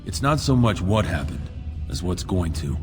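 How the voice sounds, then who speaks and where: An actor playing a blunt, world-weary dwarf arena warrior in a fantasy video game. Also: male voice, sits low, big pitch swings, moderate pace. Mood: wary, dismissive. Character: plain-spoken, wary dwarf arena warrior. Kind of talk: video game dialogue acting. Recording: long reverb, music behind.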